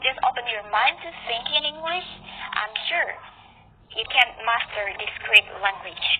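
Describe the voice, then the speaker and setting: A woman's voice: a little high, animated, normal speed. A woman with an Indonesian accent sharing an upbeat, earnest opinion in a voice message. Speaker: discussion participant. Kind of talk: prepared voice message on a topic. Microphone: phone voice message. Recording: phone-like audio with clear noise.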